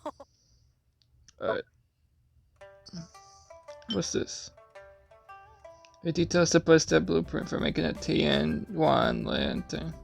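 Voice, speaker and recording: A man's voice: a little high, huge pitch swings, takes it slowly, creaky, long pauses, faint music behind. A young man giving casual gameplay commentary in a slangy, offhand way with some swearing. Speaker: gamer playing on camera or stream.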